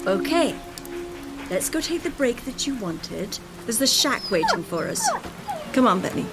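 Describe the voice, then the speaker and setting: An actress in a radio drama playing an impatient, domineering wife, sharp-tongued, sarcastic and dismissive. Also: female voice, fairly high pitch, very animated, a little slow, loud music behind the voice. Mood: sardonic, weary. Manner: sarcastic, bossy.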